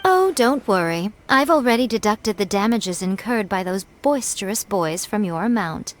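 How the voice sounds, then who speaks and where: A woman's voice: mid-range voice, expressive, normal speed, slight background noise, lightly acted. A woman voicing a sly, teasing, elegant head of a shrine in a video game, playful and coy.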